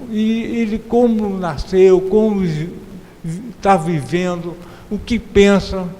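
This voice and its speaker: A man's voice: sits high, dark tone. A Brazilian man hosting an informal TV interview in an effusive, playful way, stretching out phrases for emphasis. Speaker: talk show host. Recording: reverberant hall, clear background noise.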